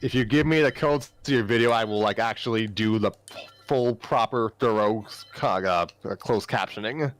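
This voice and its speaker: A man's voice: lowish voice, moderate pace, few pauses. A man co-hosting a casual story podcast, chatting informally with friends about what was read. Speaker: podcast co-host and story reader. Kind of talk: casual group discussion after reading fan fiction aloud.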